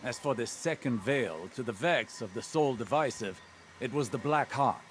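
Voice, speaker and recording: A man voicing a learned, cautious older mentor in a video game, stern but caring, speaking in a measured, formal way. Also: medium pitch, expressive, a little hiss or hum, close to an everyday voice. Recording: music playing behind.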